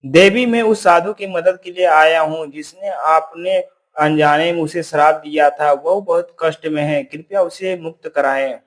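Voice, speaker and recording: A man's voice: a little high, a little slow, natural voice. A man voicing a helpful, plain-spoken hunter, earnest and respectful, in a children's cartoon story.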